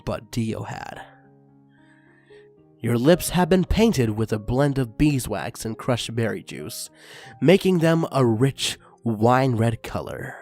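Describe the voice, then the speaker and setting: A man reads a story aloud at an even, expressive pace. His voice sits in the middle range, neither high nor low. Faint music plays behind his narration. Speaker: narrator reading fan fiction.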